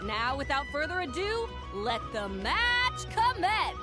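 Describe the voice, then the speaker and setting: A woman voicing a cheerful, formal young tournament announcer in a video game, with measured, showy delivery. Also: high voice, big pitch swings, full character voice. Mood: bright, ceremonious. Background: game music.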